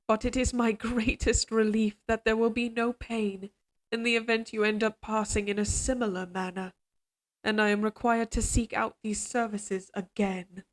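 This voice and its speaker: A woman voicing an obsessively devoted, quietly menacing evil queen in an audio drama, speaking in a formal, measured, imperious way. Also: fairly high pitch, little pitch movement, lightly acted. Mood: controlled menace. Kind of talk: scripted dramatic audio roleplay.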